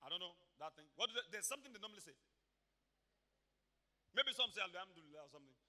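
A man preaching an energetic sermon in a church, swinging from quiet asides to shouted exclamations and acting out voices. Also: sits high, fast. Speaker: pastor. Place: church hall.

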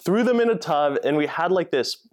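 A man's voice: a little high, animated, moderate pace. A man hosting a podcast, talking eagerly and casually, laughing often and filling with "like". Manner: eager, chatty, laughs a lot, many "like"s. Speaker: podcast host.

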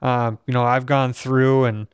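A young man from Minnesota talks in a steady, reflective way. His voice is smooth and clean, with little rise and fall and not much breath behind it. The recording is dry and close to the mic. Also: medium pitch, unhurried, dry recording. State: weak breath support. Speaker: podcast host. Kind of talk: casual conversation.

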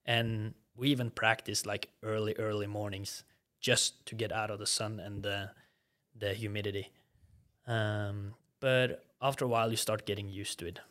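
A young man from Norway with a Norwegian accent, chatting casually as a guest about playing college soccer, relaxed with easy laughter. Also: fairly low pitch, moderate pace. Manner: relaxed, laughs easily, many fillers. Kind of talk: casual chat.

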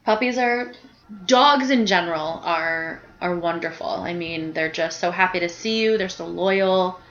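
A woman's voice: fairly low pitch, measured pace, a bit bright. A woman in her early thirties, co-hosting a podcast, chatting candidly from a couch at home with lots of "like" and "you know". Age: 30s. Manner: candid, chatty, many likes and you knows. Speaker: podcast co-host. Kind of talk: casual chat. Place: home living room on a couch. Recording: roomy, dull home recording.

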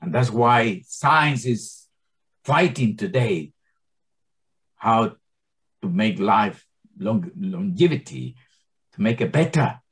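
A man's voice: on the low side, lively intonation, slow pace, pauses often, fairly bright tone. A man in his sixties giving an informal Bible teaching to a small group, speaking English as a second language with grammar slips and frequent stammered restarts. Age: 60s. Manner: impassioned, many stammered restarts.

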